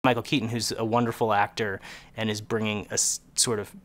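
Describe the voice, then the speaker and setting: A man's voice: on the low side, flat delivery, fairly quick, fairly bright tone. Earnest man explaining in an interview. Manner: earnest, explanatory, with frequent fillers. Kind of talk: press junket interview. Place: film set interview, indoors.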